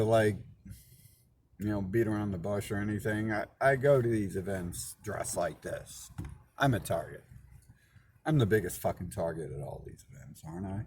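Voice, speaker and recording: A man's voice: lowish voice, a little hiss or hum. A man hosting his own channel, talking in a loose rambling monologue, swearing often, restarting and repeating words, indignant and suspicious. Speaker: channel host.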